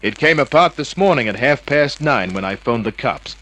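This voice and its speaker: An actor playing a cocky, wisecracking hard-boiled private investigator in an old-time radio drama, flippant, slangy and smug. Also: male voice, mid-range voice, lively intonation, moderate pace, a bit bright. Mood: mocking, smug.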